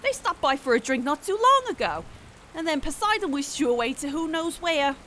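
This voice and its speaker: Teasing, coddling wine goddess done by a woman, high-pitched, animated, sing-song, fantasy audio drama, faint rain behind. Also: fairly quick, slight background noise, full character voice. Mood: doting, cheerful. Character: doting, teasing big-sister wine goddess.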